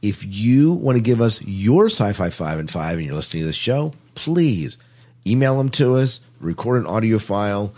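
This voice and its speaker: Man, deep voice, rough-edged tone, casual podcast host chat. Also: sing-song, normal speed, mellow.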